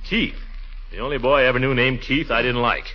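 An actor playing a pompous, excitable middle-aged bachelor uncle with a blustery, chuckling, theatrical delivery in a 1940s radio comedy. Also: male voice, mid-range voice, brisk, lightly acted. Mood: fussy, fond. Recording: muffled vintage 1940s radio recording.

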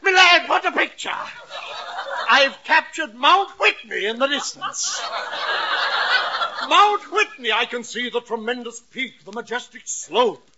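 An actor playing an excitable, roguish blowhard sidekick in a pompous, flowery 1940s radio comedy manner. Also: male voice, very high voice, measured pace, crowd noise, exaggerated voice. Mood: grandiose excitement. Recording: dull vintage radio recording.

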